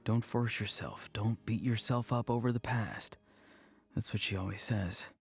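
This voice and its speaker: A man's voice: fairly low pitch, unhurried, creaky, husky, long reverb tail, exaggerated voice. An actor playing a subdued, wistful young male farmer in a video game, with a hesitant, downbeat manner. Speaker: game character. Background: music.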